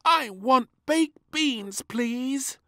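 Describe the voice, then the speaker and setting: Man putting on a squeaky, bossy little princess. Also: animated, bright, forceful tone, no room sound, clean studio sound, heavily acted. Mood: pleading, eager. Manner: whiny, drawn-out, exaggerated. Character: little princess, bossy, gleeful, fussy young girl. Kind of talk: read-aloud children's storybook.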